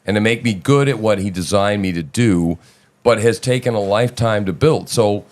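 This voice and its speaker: A man with a fairly low voice hosts a live audio talk show. He speaks casually and emphatically, swears freely, and keeps checking in with his listeners. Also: normal speed, a little mellow.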